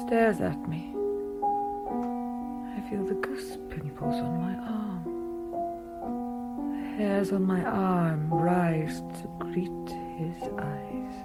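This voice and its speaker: An actress in a radio drama voicing the private thoughts of a proud, passionate woman, clipped, watchful and breathy. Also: mid-range voice, close to an everyday voice. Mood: watchful, wary, then tense, aroused. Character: inner voice of a mute, proud, passionate woman pianist. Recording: loud piano music over the voice.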